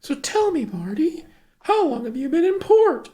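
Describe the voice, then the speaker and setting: A woman puts on a 1950s housewife around forty, cheerful and bossy. Her voice is extremely high and chirpy as she fusses and chatters through a film script. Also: big pitch swings, moderate pace, mellow. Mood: chatty. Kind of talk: amateur table read of a film script.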